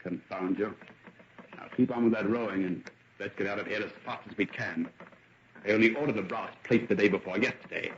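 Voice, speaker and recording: A man's voice: on the low side, brisk, a bit bright, a little hiss or hum. A man playing a wry, genteel, wordy young doctor in an old radio drama. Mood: wry, grumbling. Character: wry, grumbling, loyal young gentleman doctor. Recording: dull vintage radio recording.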